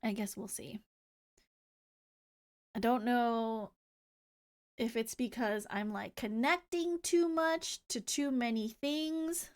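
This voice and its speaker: A woman's voice: fairly high pitch, takes it slowly, long pauses. A woman live-streaming a game, chatting casually in a playful, rambling manner. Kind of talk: casual livestream chat, reading game dialogue aloud.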